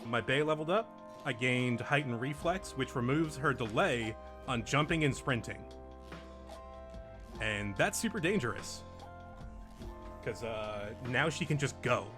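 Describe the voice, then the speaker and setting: A man's voice: mid-range voice, expressive, measured pace, pauses often, over music. A man hosting a casual game recap in plain, short, encouraging sentences. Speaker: host and player.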